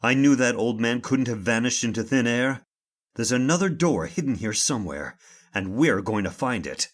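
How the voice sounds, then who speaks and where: A man playing an urgent, commanding gentleman master in an old-time radio horror drama. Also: medium pitch, lively intonation, moderate pace, lightly acted. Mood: determined. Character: urgent, commanding gentleman trapped with his servant.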